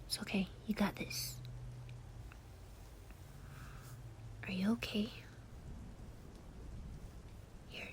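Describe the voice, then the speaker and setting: A woman voicing a gentle young boy who comforts his best friend in an ASMR roleplay, speaking softly and often in a whisper. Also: mid-range voice, steady tone, slow pace, a little hiss or hum, natural voice. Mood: soothing. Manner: soft, soothing, often whispered.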